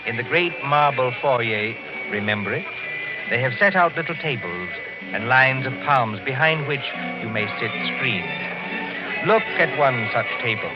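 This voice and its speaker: A muffled old radio broadcast with music behind carries a man acting as a sinister suspense host. He narrates with solemn deliberation and theatrical weight, in a mid-pitched male voice. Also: expressive, measured pace, close to an everyday voice. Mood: nostalgic, evocative.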